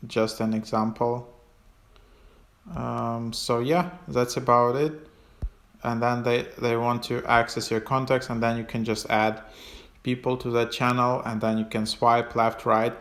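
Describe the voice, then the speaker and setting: A man giving a casual, unscripted app walkthrough as a tutorial host, in a hesitant, halting way with trailing phrases. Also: medium pitch, level tone, fairly bright tone.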